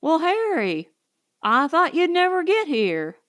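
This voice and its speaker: Excitable frontier pal, played by a woman narrator: raised pitch, keen, gossipy, audiobook style. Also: slow pace, no echo, studio quality, heavily acted. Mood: excited. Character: eager, excitable male colonist friend.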